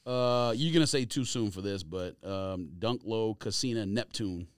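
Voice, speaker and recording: A man's voice: lowish voice, moderate pace, clear, resonant tone, no room sound, clean studio sound. A man co-hosting a casual podcast, arguing his picks in slangy conversational speech. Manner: argumentative, slangy. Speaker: podcast co-host. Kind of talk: casual sneaker banter.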